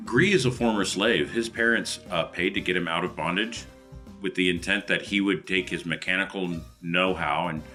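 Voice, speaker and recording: A man with a deep but bright, forceful voice plays a tabletop game. He talks casually, with faint music behind him. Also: moderate pace. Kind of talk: casual character introduction and table talk.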